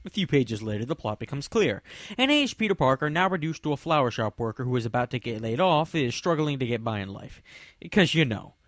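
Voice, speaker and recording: Snarky young man reviewing, lively pitch. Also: brisk, no echo, clean studio sound. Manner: snarky, mocking asides. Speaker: comic reviewer. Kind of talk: sarcastic scripted review.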